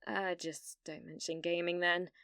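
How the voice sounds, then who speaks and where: A British woman playing a needy, delusional young gamer in an ASMR roleplay, hesitant and stammering, blithely casual about dark things. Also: lowish voice, little pitch movement, a little slow, smooth, no room sound, studio quality. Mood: casual, chatty.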